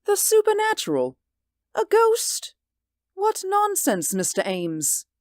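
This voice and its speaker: An actress in a 1940s radio drama playing a stern, skeptical former schoolteacher who speaks in a scornful, prim way. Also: female voice, extremely high pitch, wildly swooping pitch, slow pace, no echo, clean studio sound, exaggerated voice.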